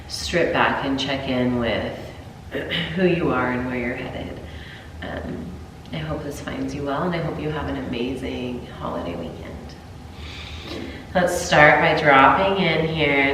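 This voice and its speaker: A woman with a sinus cold leading a gentle yoga class, her voice congested and low, calm cues broken by throat clearing. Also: far from the mic, a little hiss or hum. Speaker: yoga instructor. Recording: roomy echo, voice from afar.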